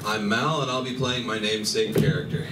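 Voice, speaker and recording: A man's voice: lowish voice, steady tone, fairly quick, very noisy. A man bantering casually in a dry, teasing way as a cast member at a live event. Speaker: radio play cast member. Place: convention event room. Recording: echoey distant live room, loud crowd.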